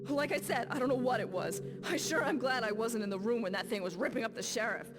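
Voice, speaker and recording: A woman's voice: on the high side, fast, over music, close to an everyday voice. An actress playing a rattled female guard who speaks in an agitated, emphatic way. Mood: shaken, uneasy. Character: rattled female guard, shaken witness in a video game.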